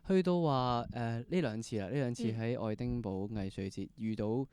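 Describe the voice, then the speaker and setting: Smooth, mellow Hong Kong man hosting. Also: medium pitch, moderate pace, no room sound. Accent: Hong Kong Cantonese. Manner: repeats words and phrases, mixes in English words. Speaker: podcast interview host. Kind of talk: casual interviewing.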